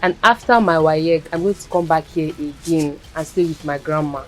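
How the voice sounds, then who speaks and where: An actress playing a caring teenage granddaughter, gentle and reassuring. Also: female voice, deep voice, moderate pace, soft background music, natural voice. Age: teens. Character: devoted young granddaughter, caring student.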